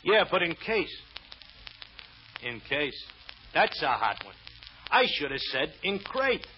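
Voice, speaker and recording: A man's voice: on the high side, very animated, slow pace. Folksy, wary working-man porter, noisy recording. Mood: uneasy, willing. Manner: folksy, ungrammatical working-class speech. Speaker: actor. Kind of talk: old radio detective drama acting. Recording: dull, noisy old radio recording with clicks.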